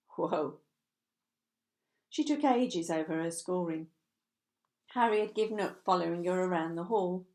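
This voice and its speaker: A woman's voice: medium pitch, darkish tone. A woman narrating a romance novel from a script, as an author reading her own work.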